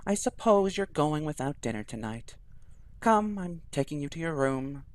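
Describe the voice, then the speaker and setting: A man's voice: a little high, big pitch swings, normal speed, no echo. A man voicing a stern, self-righteous woman priestess who scolds coldly. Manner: cold, reproachful.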